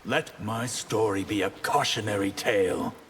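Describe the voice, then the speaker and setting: An actor playing a solemn, haughty fallen mage in video game banter. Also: male voice, on the low side, normal speed, a bit bright, close to an everyday voice. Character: grave, proud male sorcerer, a fallen mage. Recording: long hall reverb, faint background noise.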